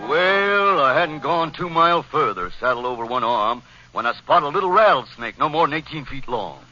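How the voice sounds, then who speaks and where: Boastful young cowboy, American Western drawl, highish swooping voice, 1940s radio comedy, dull old recording. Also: male voice, expressive, normal speed, sharp tone, faint music behind. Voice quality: drawling, folksy.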